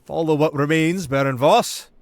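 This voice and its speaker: A smooth-tongued, scheming marquis, acted out by a man for an audio drama. He speaks in a raised, courtly, insinuating voice full of veiled menace. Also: on the high side, normal speed, dry recording, voice pushed up, natural voice. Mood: oily, insinuating. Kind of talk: ASMR audio roleplay acting.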